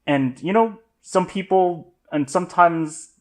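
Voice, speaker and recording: A man in a casual podcast discussion who talks haltingly, rambling and circling back to rephrase himself. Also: sits high.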